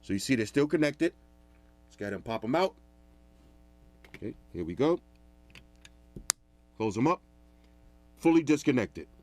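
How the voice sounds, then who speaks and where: A man giving a casual, animated product review in a loud, talkative voice. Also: medium pitch, moderate pace, pauses often. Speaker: tech reviewer.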